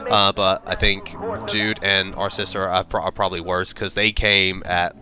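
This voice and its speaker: A man's voice: deep voice, level tone, moderate pace. A young American man hosting a let's play, chatting casually and reading viewer comments with frequent repeated words. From United States. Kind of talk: casual commentary over gameplay. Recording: voice over loud game music.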